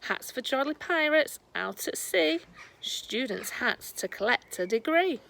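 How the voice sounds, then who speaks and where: A woman reading a rhyming children's storybook aloud in a deliberate storytelling manner. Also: high-pitched, expressive, vocal fry, sharp tone, a little hiss or hum. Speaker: storybook reader. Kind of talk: scripted children's rhyme read aloud.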